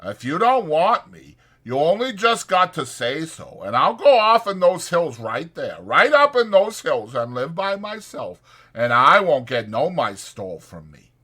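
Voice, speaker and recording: A man's voice: on the high side, big pitch swings, unhurried, hardly any pauses, sharp tone, slightly rough, voice pushed up, heavily acted. A man voicing a timid, childlike, simple-minded man who pleads naively in simple rural American speech. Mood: sulky, crafty.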